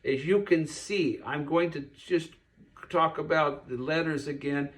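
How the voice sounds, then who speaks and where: A man's voice: on the high side, measured pace. A man presenting a children's puppet show, speaking directly to a child and explaining things simply. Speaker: puppet show performer and host.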